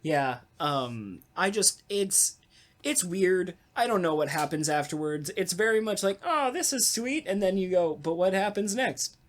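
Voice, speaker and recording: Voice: medium pitch, expressive, a little slow. A podcast co-host chatting casually about a radio play, rambling along with wry humor.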